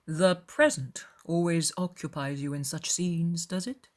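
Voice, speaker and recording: A woman voicing a proud, reserved young gentleman in a constrained, formal and measured way for a dramatized audiobook. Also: on the low side, fairly even intonation, close to an everyday voice. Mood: reserved, serious.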